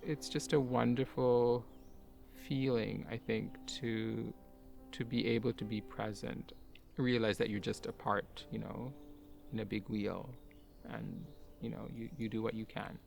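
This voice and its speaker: A man who grew up in Kuwait, a garden owner and artist, talking in a soft-spoken, musing way with light laughs. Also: lowish voice, fairly even intonation, unhurried, gravelly, soft background music. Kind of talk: reflective personal talk for a garden video.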